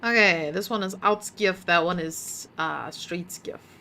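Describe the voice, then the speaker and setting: Slow-talking bright-voiced woman streamer. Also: lowish voice. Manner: mutters to herself while puzzling, chats with viewers. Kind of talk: casual gameplay commentary.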